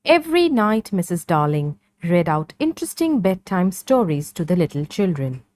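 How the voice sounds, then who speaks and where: A woman narrating a scripted children's story in a measured, clearly phrased read-along manner. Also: on the low side, big pitch swings, a little mellow.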